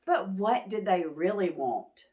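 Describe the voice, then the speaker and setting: Slow-paced woman reading to preschoolers. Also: mid-range voice, animated, mellow. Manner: questioning, explaining simply to children. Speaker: children's storyteller, channel host. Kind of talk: picture-book read-aloud, talking to preschoolers.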